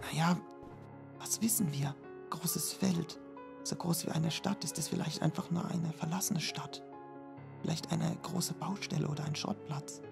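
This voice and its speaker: Man playing a gravelly skeptical student. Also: a little high, fairly even intonation, unhurried, over music. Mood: musing. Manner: doubtful, questioning. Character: young male student, skeptical sidekick. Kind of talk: German fan audio drama.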